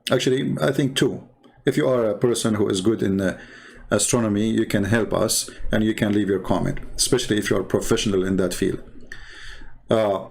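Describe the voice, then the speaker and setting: A man speaking English as a second language with a non-native accent, giving improvised commentary as a host, haltingly and repeating key words for emphasis. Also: on the low side, gravelly, a little mellow. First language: not English. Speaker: host and religious debater. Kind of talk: improvised commentary over visuals.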